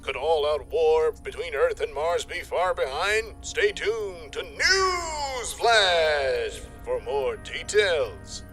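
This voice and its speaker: A man's voice: on the high side, big pitch swings, takes it slowly, few pauses, a bit bright, soft background music, heavily acted. A man playing an urgent news flash announcer, declamatory and emphatic, in a comic sci-fi audio serial. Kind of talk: mock newsreel announcement.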